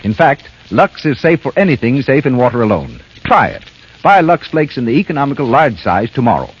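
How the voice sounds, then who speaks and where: 1930s radio announcer, American man, animated, slightly husky, coaxing sales delivery, muffled broadcast with music. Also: mid-range voice, brisk.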